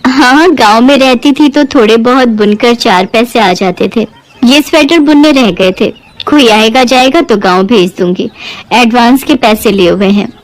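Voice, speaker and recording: A woman's voice: fairly high pitch, moderate pace, close to an everyday voice, rough recording. A woman voicing a humble, earnest village knitter who speaks politely and modestly in a Hindi moral-story cartoon. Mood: polite, eager.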